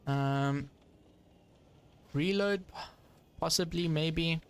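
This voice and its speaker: A man's voice: a little high, very slow, clean tone. A young man giving casual live commentary while playing a tank game, chatty with frequent fillers and frustrated asides. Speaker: gaming streamer.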